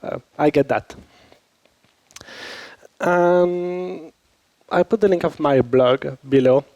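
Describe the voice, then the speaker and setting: A man's voice: on the high side, takes it slowly, darkish tone, no room sound, lo-fi sound. French-accented man leading a workshop. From Switzerland. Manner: informal, chatty, addresses audience with questions. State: recovering from a cold. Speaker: workshop instructor. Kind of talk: conference workshop talk. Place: conference workshop room. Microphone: handheld or lapel microphone.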